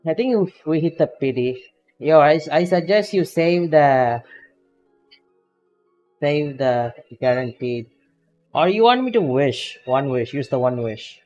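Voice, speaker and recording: Male gaming streamer, unhurried, chatty, faint game music under a muffled mic. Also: fairly high pitch, animated. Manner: chatty, laughs often, reacts loudly to game results. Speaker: streamer and VTuber. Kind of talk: casual gaming stream chat.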